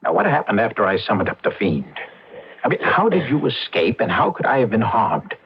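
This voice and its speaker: An actor playing an agitated, suspicious occult dabbler, blunt and impatient, in a radio mystery drama. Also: male voice, on the low side, sing-song, a little fast, slightly rough, exaggerated voice. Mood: agitated, demanding. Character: agitated, suspicious man who dabbles in Satanism. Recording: dull old radio recording.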